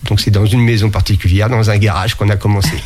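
A man with a fairly low voice talks in a relaxed interview. He stops often with fillers and restarts, and he explains things at length. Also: fast talker, a little hiss or hum. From France. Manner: hesitant, many fillers and restarts. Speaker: interviewee, sommelier and museum founder. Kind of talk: casual interview talk.

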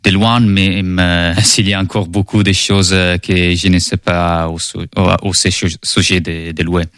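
A man with an Italian accent answers interview questions in French in a very deep, level voice, measured and dotted with hesitation sounds. His tone is smooth and clear. The sound is close and dry. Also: flat delivery, a bit bright, dry recording. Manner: measured, with frequent hesitation fillers. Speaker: intern at an EU agency. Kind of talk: interview answers in a second language.

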